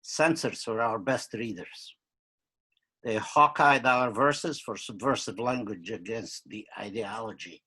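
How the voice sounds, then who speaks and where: An older man from Romania giving wry, deadpan opening remarks with many hesitations, on a webcam video call from a book-lined study at home. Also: on the low side, unhurried, slightly rough. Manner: wry, deadpan humor with frequent uh fillers. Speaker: curator and poet. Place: home study with bookshelves, indoors.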